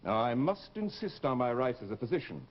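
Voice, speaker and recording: An actor playing a firm, polite plastic surgeon in an old-time radio horror drama, speaking in a formal, measured way. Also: male voice, mid-range voice, very animated, close to an everyday voice. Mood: firm, indignant. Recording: muffled, noisy old radio recording.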